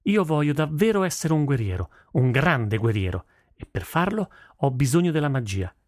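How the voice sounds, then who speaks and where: A man's voice: a little high, big pitch swings, normal speed, a little mellow, dry recording, studio quality, voice pushed up. A man voicing a stubborn, fiery thirteen-year-old girl in an audiobook, with an insistent, youthful manner. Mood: insistent, defiant. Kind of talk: audiobook character voice.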